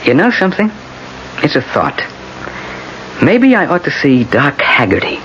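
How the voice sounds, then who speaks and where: An actor playing a weary, sardonic defense lawyer in a radio drama. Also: male voice, fairly high pitch, big pitch swings, measured pace, fairly bright tone. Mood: brisk, hopeful. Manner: sardonic, glum. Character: weary, wry male defense lawyer expecting defeat. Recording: muffled, noisy old radio broadcast.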